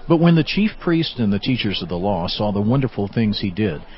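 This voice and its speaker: Expressive male scripture narrator. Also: fairly low pitch, brisk. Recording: slightly dull, faintly noisy sound.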